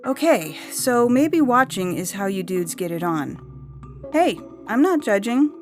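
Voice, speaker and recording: A woman's voice: fairly high pitch, big pitch swings, a little slow, darkish tone. A woman reading a comic story as a chatty, blunt young woman abducted by aliens, with a breezy, emphatic, slangy delivery. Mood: chatty, knowing. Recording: music under the voice. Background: music.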